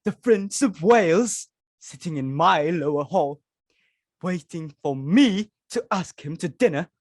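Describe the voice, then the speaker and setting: Woman playing imperious society mother, middle-aged, highly animated pitch, exclamatory, stage comedy, dry close sound. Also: a little slow, no room sound. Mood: awed, excited. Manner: dramatic, exclamatory, repeating herself for emphasis. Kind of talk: dramatic reading of a stage comedy.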